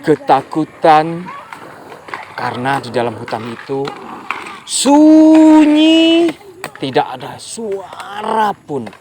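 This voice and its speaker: A storyteller telling a folk tale to children, animated and often addressing the young listeners directly. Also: mid-range voice, slow pace, pauses often, slight background noise.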